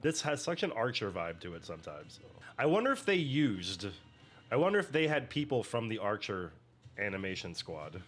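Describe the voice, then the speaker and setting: Man in his 40s, reaction host, profane, energetic, lowish voice. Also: normal speed, soft background music. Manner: energetic, profane, laughs often. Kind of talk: casual reaction commentary.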